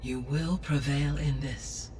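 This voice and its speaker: A man playing a calm, benevolent artificial intelligence caretaker in a video game, speaking formally and precisely. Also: medium pitch, takes it slowly, far from the mic, a little hiss or hum, natural voice. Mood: reassuring. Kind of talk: scripted video game acting.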